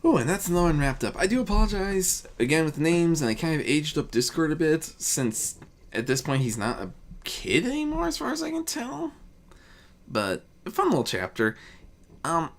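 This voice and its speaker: A woman narrates a fantasy fan story in a lowish voice. Her intonation moves very widely, and she slips into informal asides. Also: big pitch swings, normal speed. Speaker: fan fiction reader and narrator.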